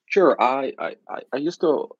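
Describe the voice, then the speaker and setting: A man's voice: medium pitch, animated, normal speed, husky, no room sound. A man from Ukraine with a Ukrainian accent, a guest speaking in a casual podcast interview, often restarting and repeating words. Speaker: guest, agency founder. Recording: dull, dry sound.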